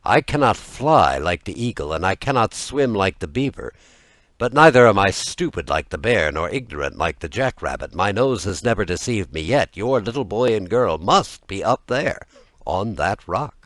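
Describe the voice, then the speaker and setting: A man voicing a clever, proud male coyote in a fairy tale, speaking in a self-assured, measured, boastful way. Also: on the low side, big pitch swings, a bit bright, no echo. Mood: boastful, certain.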